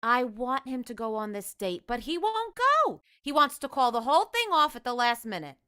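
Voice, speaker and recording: A woman's voice: high voice, big pitch swings, normal speed, pushed and bright, clear, resonant tone, no echo, studio quality, exaggerated voice. A woman playing an exasperated housewife and mother in a 1950s-style radio sitcom, fretful and scolding. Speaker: radio play actor.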